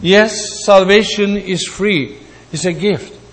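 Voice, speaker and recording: A man from Greece preaching a sermon in a deliberate way, repeating words for emphasis. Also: high-pitched. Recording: long hall reverb.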